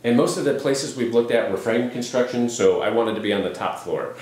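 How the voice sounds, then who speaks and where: A man's voice: medium pitch, a little fast, darkish tone. A man in his forties talking casually and conversationally in an audition-style chat. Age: 40s. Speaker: home buyer auditioning for a TV show. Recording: very echoey, roomy sound.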